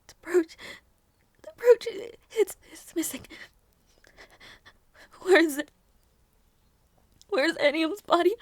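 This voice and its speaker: A woman acts out a gentle young princess in an audio roleplay, with a very high, delicate voice. She speaks slowly and hesitantly, as an anxious, tender-hearted sister. The sound is dry and intimate. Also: lively intonation, no room sound, heavily acted. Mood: distraught, grieving. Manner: soft, hesitant, halting delivery. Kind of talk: audio roleplay drama, intimate one-sided dialogue.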